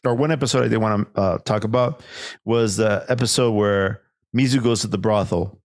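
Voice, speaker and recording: A man's voice: lowish voice, fairly quick, no echo, studio quality. A Mexican-man, co-hosting a casual show review, rambling and laughing often. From Mexican-American, United States. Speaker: podcast co-host.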